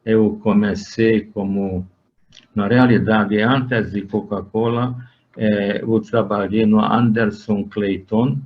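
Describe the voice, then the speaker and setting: An older man with a Hungarian accent speaks very slowly and haltingly, filling pauses with "eh" and often starting over. His voice sits fairly low. The recording sounds dull and lo-fi. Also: steady tone. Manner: slow and halting, with frequent "eh" fillers and restarts. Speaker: interviewee. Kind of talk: recounting his working life in an interview.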